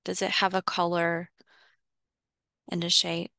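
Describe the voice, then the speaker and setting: Woman, very slow pace, lowish pitch, guided visualization, measured pauses, dry close sound. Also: completely flat delivery, gravelly, pushed and bright, dry recording. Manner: measured, pausing between short phrases. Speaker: guided meditation host.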